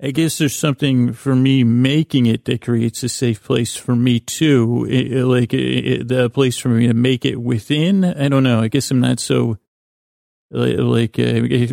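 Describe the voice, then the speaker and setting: A man's voice: medium pitch, fairly even intonation, measured pace, gravelly, darkish tone, no echo, studio quality. A man hosting a sleep podcast in lulling, soothing tones, rambling to the listener with stammered false starts and trailing thoughts. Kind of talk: rambling bedtime monologue, talking to the listener.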